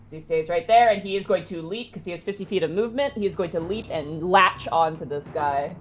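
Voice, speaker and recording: A woman's voice: lowish voice, a little fast, slight background noise. A woman talking casually as a player in a tabletop role-playing game, calling out moves and rolls. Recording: music playing behind the voice.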